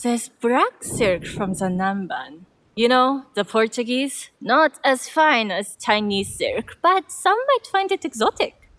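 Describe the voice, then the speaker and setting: An actress playing an obliging, slightly fussy silk tailor in a video game, polite and expressive as she deliberates. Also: fairly high pitch, no room sound. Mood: eager to please. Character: obliging, fussy female silk tailor, proud of her craft. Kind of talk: dramatic video game cutscene acting.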